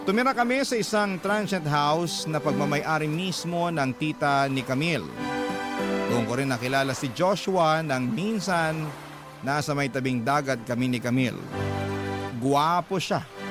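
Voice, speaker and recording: A man's voice: mid-range voice, lightly acted. A man reading a letter sender's love story in the first person, as reflective narration for an audio drama. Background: loud music.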